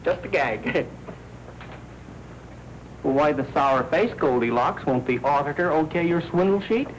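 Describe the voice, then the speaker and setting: An American actor playing a blunt, griping, wisecracking press-room reporter in a 1940s crime film. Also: male voice, on the high side, expressive, unhurried. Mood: mocking. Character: gruff, griping, slangy male press-room reporter, hostile to women. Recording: noisy old 1940s film soundtrack.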